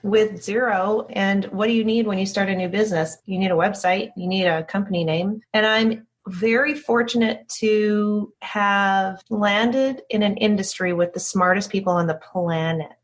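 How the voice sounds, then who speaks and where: A woman's voice: mid-range voice, normal speed, hardly any pauses. Candid woman telling her own story. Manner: candid, animated storytelling with self-quotes. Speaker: interview guest, agency founder. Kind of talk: conversational interview answers.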